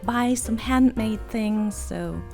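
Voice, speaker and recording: A young woman from Germany with a hoarse, raspy voice and vocal fry, chatting through a travel vlog voice-over in a rambling, self-deprecating way. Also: on the high side, slow pace, a little mellow, soft background music. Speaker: travel vlogger. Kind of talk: voice-over narration.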